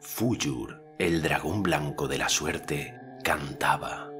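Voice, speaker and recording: Narrador de voz muy grave. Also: male voice, extremely low pitch. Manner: slow, calm, deliberate. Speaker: audiobook narrator. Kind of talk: audiobook narration. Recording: music bed under narration.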